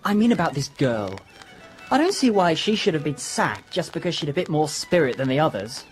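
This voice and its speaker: An actor playing a rebellious, sympathetic young upper-class son in a radio drama, outspoken and impulsive. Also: male voice, on the high side, big pitch swings, brisk. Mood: indignant. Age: young adult. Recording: music under the voice.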